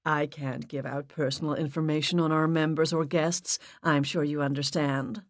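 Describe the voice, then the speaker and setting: A courteous young man at a front desk, clipped and firm in his refusals, as a woman narrator reads him. The tone sits low and steady, recorded close and dry. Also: normal speed, dry recording, natural voice. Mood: polite, firm. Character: polite, firm young male club receptionist.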